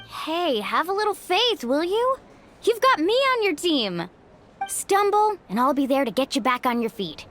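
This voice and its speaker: A confident, lively high-voiced champion girl. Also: a little slow, heavily acted. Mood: reassuring. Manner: breezy, cocky, supportive. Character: confident, upbeat, protective big-sisterly teenage girl champion. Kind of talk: video game voice acting.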